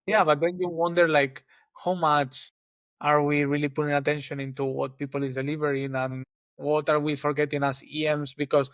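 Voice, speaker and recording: A man with a Spanish accent, a guest on an interview podcast, thinking aloud in a relaxed way with many restarts. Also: on the high side, moderate pace, no room sound. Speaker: guest engineering manager. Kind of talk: casual interview chat.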